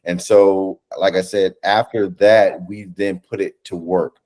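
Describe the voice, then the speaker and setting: Animated American man, low register, unscripted storyteller in a remote interview. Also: measured pace. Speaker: theatre director and writer, interview guest. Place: indoors, on a video call.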